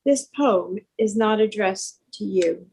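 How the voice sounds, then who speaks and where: A woman reading a poem aloud in deliberate, separated phrases. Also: mid-range voice, darkish tone, breathy edge, poor audio. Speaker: poetry reader. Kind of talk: poetry recitation.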